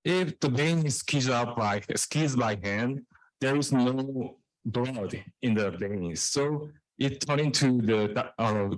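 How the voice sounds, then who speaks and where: A man giving a technical research presentation in a halting way, repeating and restarting phrases. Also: medium pitch, dry recording, poor audio. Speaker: researcher presenting own work.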